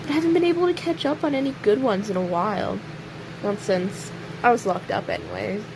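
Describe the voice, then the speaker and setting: A woman playing a snarky, cocky imprisoned serial killer in an audio roleplay, sarcastic and teasing, with pointed pauses. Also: mid-range voice, lightly acted. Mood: sardonic, smug. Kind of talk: audio roleplay acting, addressing an unheard listener. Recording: steady clear background hiss or hum.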